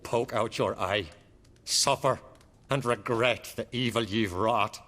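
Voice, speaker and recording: An actor playing a tormented, haunted jarl who speaks in solemn, archaic, fatalistic lines. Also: male voice, on the low side, slow, a bit bright. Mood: grim, haunted. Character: tormented haunted old jarl, brooding and grim. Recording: long hall reverb.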